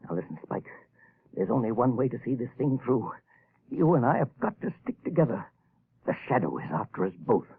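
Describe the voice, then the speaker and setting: An actor playing a nervous scheming husband in a 1930s radio drama, stammering and jittery, on a tinny old broadcast recording. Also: male voice, medium pitch, very animated, a little fast, creaky, husky, exaggerated voice. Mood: pleading. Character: scheming nervous middle-aged husband, panicky.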